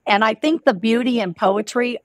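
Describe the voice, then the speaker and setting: A woman's voice: on the high side, normal speed, no room sound, clean studio sound. A woman in her sixties hosting a casual interview over a video call from home, musing reflectively with many "you know"s. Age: 60s. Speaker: host. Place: home room. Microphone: video call webcam.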